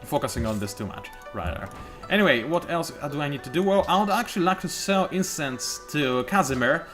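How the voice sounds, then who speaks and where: Higher-voiced man chatting over gameplay. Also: lively intonation, a little fast, fairly bright tone, soft background music. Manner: chatty, joking and self-mocking, thinking aloud. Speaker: video game let's play host. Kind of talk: casual gameplay commentary.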